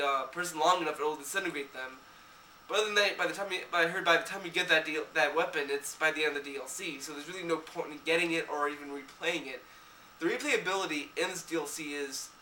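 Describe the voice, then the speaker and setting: A man's voice: on the high side, sharp tone, echoey room, far from the mic, a little hiss or hum, low-quality recording. A young man, a little sick, giving a rambling casual review of a game, with many restarts and fillers.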